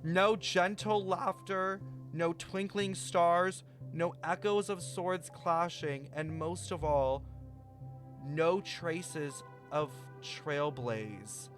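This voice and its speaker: Higher-pitched male game streamer, music behind. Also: very slow. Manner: animated, puzzled, thinking aloud. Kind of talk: livestream reaction commentary and reading game text aloud.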